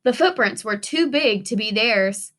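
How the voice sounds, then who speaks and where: A woman's voice: a little high, animated, a little slow, a bit bright. A woman teacher reading a children's story aloud expressively, with dramatic emphasis for young listeners.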